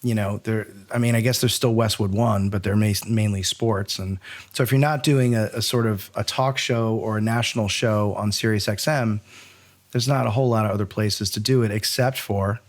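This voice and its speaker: A man with a fairly low voice speaks as a podcast host. His delivery is conversational and reflective, rambling a little as he works through his thoughts. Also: steady tone, moderate pace. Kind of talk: conversational interview.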